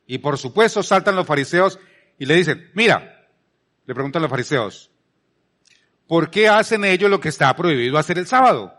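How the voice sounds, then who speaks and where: Higher-pitched Colombian man preaching conversationally. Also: lively intonation, normal speed, pauses often, fairly bright tone. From Palmira, Colombia. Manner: emphatic repetition, rhetorical questions. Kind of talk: conversational sermon.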